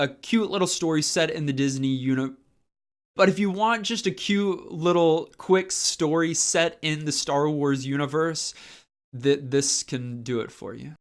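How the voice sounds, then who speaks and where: Video reviewer, medium-pitched voice, casual, enthusiastic, chatty, emphatic repetition. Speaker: book reviewer and video host.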